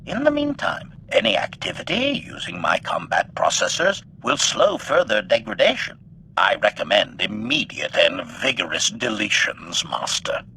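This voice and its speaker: Killer combat droid for a game, bright metallic robot voice, formal and clinical, pitch swoops around. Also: male voice, huge pitch swings, moderate pace, slight background noise, full character voice, low-quality recording. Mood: clinical, calculating. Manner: formal, clinical, announces statement types before speaking. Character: menacing, coldly logical male combat droid, eager to kill. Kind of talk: video game character acting.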